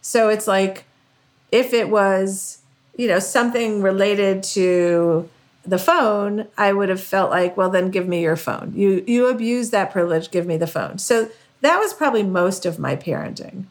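A woman's voice: mid-range voice, unhurried. A middle-aged American woman chatting casually as a podcast co-host, self-deprecating and peppering her sentences with "like". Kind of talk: casual conversational chat.

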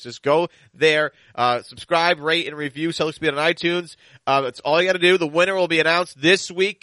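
Male podcast host for sports talk: bright, forward voice on the higher side, close dry sound, conversational and wordy. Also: dry recording. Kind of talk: sports podcast hosting and interview.

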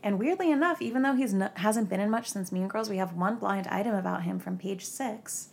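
A woman's voice: medium pitch, fairly quick, vocal fry. A young woman hosting a podcast, chatting casually and gossiping, with lots of "like" fillers. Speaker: podcast host.

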